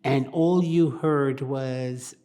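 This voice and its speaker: A woman's voice: extremely low pitch, steady tone, extremely slow, warm tone. An older woman from Barbados, telling her life story in an oral history interview in a plain-spoken, reflective way.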